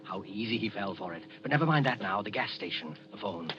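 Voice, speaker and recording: An actor playing an ominous narrator of a 1940s radio suspense play, speaking a schemer's thoughts in terse phrases. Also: male voice, fairly low pitch, animated, a little fast, lightly acted. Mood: terse, calculating. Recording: muffled old radio broadcast recording. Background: dramatic music.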